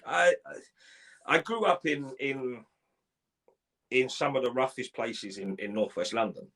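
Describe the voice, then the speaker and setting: A man in his forties from Ireland, telling his life story in a live interview, halting, with many restarts and repeated words. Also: mid-range voice, expressive, sharp tone, slightly rough. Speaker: guest.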